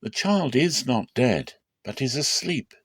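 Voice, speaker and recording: A man voicing a calm, authoritative teacher and healer in a measured, solemn dramatic scripture reading. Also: medium pitch, breathy edge, no room sound, clean studio sound, full character voice. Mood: calm, reassuring.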